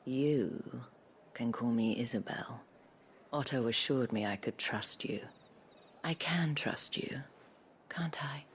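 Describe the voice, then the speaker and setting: An actress playing a sly, elegant, teasing madam in a video game, with a sultry, half-whispered, genteel delivery. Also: female voice, extremely low pitch, slight background noise. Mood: coy, seductive. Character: sly, elegant madam of a pleasure house, teasing and self-assured. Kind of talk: video game cutscene acting. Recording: music behind the voice.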